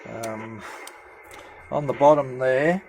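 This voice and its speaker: A man giving an unscripted hands-on demonstration as a hobbyist, speaking haltingly with many pauses. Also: mid-range voice, darkish tone, a little hiss or hum, low-quality recording. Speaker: amateur CB radio hobbyist presenter. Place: indoor room at home with radio gear.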